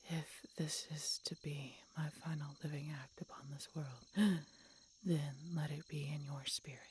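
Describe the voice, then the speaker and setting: A woman voicing a tender, regretful, dying she-dragon in an audio roleplay, breathy and whispery, speaking haltingly with weak, labored breath. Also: low voice, fairly even intonation, a little mellow, slight background noise. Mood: regretful, resigned. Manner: slow, halting, labored speech with pauses and gasps. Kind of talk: audio roleplay, intimate dramatic monologue.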